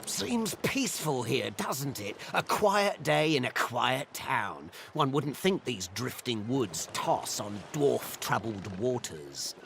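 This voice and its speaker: An actor playing a menacing, sadistic inquisitor in a video game, speaking in an ornate, taunting, theatrical way. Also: male voice, medium pitch, very animated, slow, vocal fry, hardly any pauses, fairly bright tone, slight background noise, exaggerated voice. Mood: cool, sly. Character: sadistic, menacing male inquisitor hunting sorcerers.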